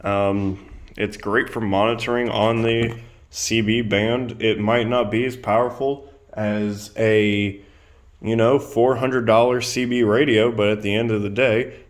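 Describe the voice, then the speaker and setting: A man giving a casual product demonstration, explaining things in a plain-spoken way as a reviewer. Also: on the low side. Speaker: presenter reviewing radio gear. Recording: clear background noise.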